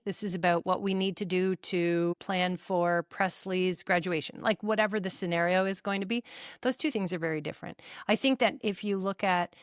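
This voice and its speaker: A woman's voice: mid-range voice, studio quality. Woman in her 50s, podcast co-host, talks things through eagerly, mid voice, no room echo. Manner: explanatory, enthusiastic, laughs often. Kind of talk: casual chat.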